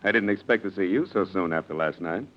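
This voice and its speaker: An actor playing a defiant, suspicious, sardonic son of a rich family in a 1940s radio mystery. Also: male voice, low voice, fast, background noise, lightly acted. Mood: wary, sardonic. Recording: muffled vintage radio recording.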